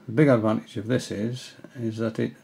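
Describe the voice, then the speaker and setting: An older man at home giving a hesitant, casual tutorial, often pausing with fillers as he shows his reels. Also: fairly low pitch, level tone, gravelly, darkish tone, husky. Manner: hesitant, many fillers and restarts. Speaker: angling hobbyist giving a tutorial. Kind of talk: casual explanatory demonstration. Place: indoors, small room at home.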